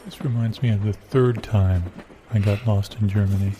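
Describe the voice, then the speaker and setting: A man playing a rambling musician in improvised comedy, reminiscing in a deadpan, hesitant way. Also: lowish voice, normal speed, background noise, natural voice. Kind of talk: improvised comic dialogue and storytelling.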